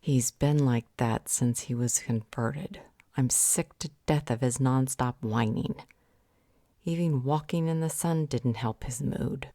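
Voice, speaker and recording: Motherly female healer, played by a woman in roleplay audio: soft, concerned, dark low voice with heavy fry. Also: unhurried, no echo, studio quality, exaggerated voice. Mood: exasperated. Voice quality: creaky, breathy. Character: motherly female healer, caring but fed up.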